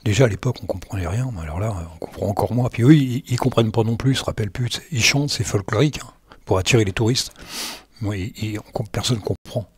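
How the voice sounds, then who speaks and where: A man's voice: fairly low pitch, fast, breathy edge, dry recording. A man giving loose, slangy commentary over a screen recording, often repeating himself and joking at his own expense. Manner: rambling, repeats words, slangy and self-mocking. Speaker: tech blogger.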